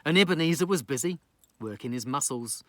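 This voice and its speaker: A man's voice: medium pitch, expressive, a little fast, no room sound. A man from New Zealand telling a rhyming children's story in a theatrical, playful pirate persona. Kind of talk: rhyming children's story read aloud with casual asides.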